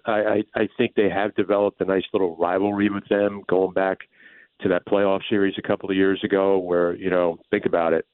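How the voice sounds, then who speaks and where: A man giving measured, conversational sports analysis as an interview guest, explaining things like a play-by-play announcer. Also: sits low, no room sound. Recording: muffled phone-like line.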